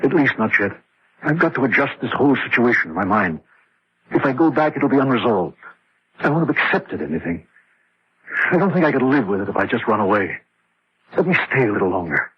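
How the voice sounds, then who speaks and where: A man's voice: mid-range voice, sing-song, fairly quick, long pauses, breathy edge, no echo. An actor playing an exhausted, grieving man who narrates his haunting in a confessional way in an old radio horror drama. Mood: agitated pleading. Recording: dull lo-fi old radio recording.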